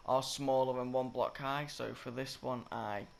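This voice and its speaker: A croaky, slightly sick young man rambling through a game-building tutorial, often correcting himself. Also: medium pitch, steady tone, a little slow. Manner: rambling, self-correcting, filler-heavy. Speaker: gaming tutorial creator. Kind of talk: casual gameplay tutorial commentary. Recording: loud game noise behind. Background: game sound effects and clicks.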